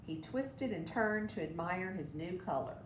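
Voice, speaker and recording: A woman's voice: lively intonation, measured pace. A woman reading a children's story aloud as a storybook narrator. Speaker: storybook reader. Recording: echoey room with background rumble.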